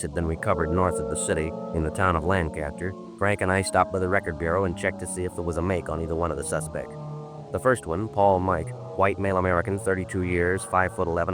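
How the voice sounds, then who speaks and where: An actor playing a terse, dogged police sergeant in a 1950s radio crime drama, speaking in a clipped, matter-of-fact way. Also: male voice, low voice, natural voice. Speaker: detective narrator.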